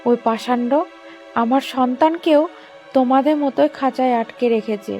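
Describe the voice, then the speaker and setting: High-pitched, earnest young mother played by a woman. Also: normal speed, mellow, soft background music. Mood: pleading. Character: determined, anguished young mother trapped by a villain. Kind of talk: animated story dialogue.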